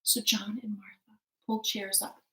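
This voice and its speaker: A woman telling a children's story in an animated, dramatic way. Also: mid-range voice, moderate pace. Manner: dramatic, sometimes dropping to a hushed near-whisper. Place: living room at home. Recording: echoey living room.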